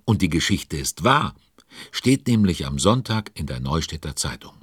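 A man's voice: extremely low pitch, big pitch swings, normal speed, a bit bright, slightly rough, no echo, clean studio sound, exaggerated voice. A man narrating a children's audio story, chatty and speaking directly to young listeners.

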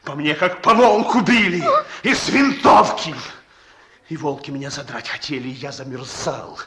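An actor in a Russian radio drama playing a bitter, hot-tempered runaway pickpocket, slangy, sneering and defiant. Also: male voice, fairly high pitch, normal speed, crowd noise, lightly acted. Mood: bitter confession. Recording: noisy, echoey old radio drama recording.

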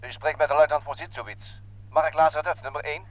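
Radio play role: army lieutenant, played by a man, controlled, formal, fairly high male voice, dull old recording. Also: fairly even intonation, fast talker, natural voice. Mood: official.

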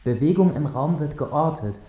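An actor plays a measured male mission controller in a radio drama. His mellow, relaxed voice gives formal explanations, heard with clear echo and a slightly dull sound. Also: on the high side, close to an everyday voice. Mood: solemn, official. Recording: dull, echoing transmission sound.

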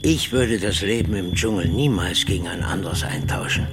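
An easygoing male brewery owner who emigrated. His voice is on the low side, serene and earnest, in radio drama style. Music is clearly audible behind him. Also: expressive, moderate pace.

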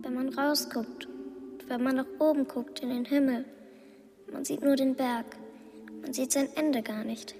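A woman in a German radio drama playing a dazed, frightened girl who speaks in short, halting, hushed phrases. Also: sits high, fairly even intonation, big-room sound, music in the background. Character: dazed girl relaying visions of a disaster.

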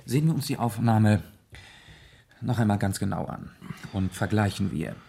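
Measured, probing gentleman sleuth. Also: on the low side, gravelly, slightly rough. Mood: methodical, probing. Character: calm, shrewd gentleman sleuth, a lord questioning a suspect. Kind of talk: 1970s German radio play acting.

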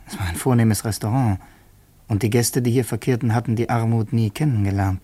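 An actor playing a young revolutionary student who narrates in the first person in a radio play, earnest and tense. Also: male voice, mid-range voice, fairly even intonation, a little fast, dark tone, husky, dry recording, lightly acted.

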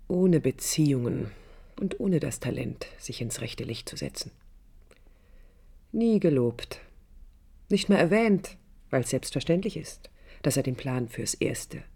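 An actor playing a reflective, ironic storyteller in a literary radio drama, measured and emphatic. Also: male voice, mid-range voice, gravelly, dark tone. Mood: defensive, ironic. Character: reflective, ironic first-person storyteller looking back.

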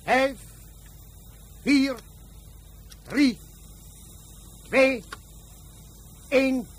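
An actor playing a resolute, exacting fleet commander in a radio drama, giving clipped, authoritative orders. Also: male voice, very high voice, very slow, heavily acted. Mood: tense, controlled.

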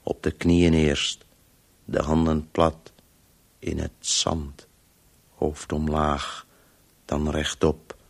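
An actor playing a weary, disembodied Voice in a radio play, obsessively telling a story in halting, clipped, sometimes breathy fragments. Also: male voice, rumbling low, level tone, full character voice. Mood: grim, labouring.

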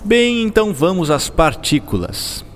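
A Brazilian actor playing a courteous, patient young physicist in a radio drama, explaining things clearly. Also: male voice, high-pitched, big pitch swings, unhurried, in a large hall, a little hiss or hum. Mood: patient, explanatory.

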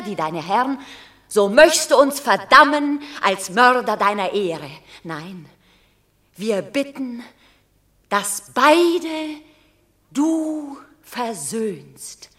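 A woman's voice: fairly high pitch, very animated, slow, fairly bright tone, full character voice. An actress playing a noble Roman mother in a classical verse radio drama, grave, formal and imploring but unyielding. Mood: insistent appeal.

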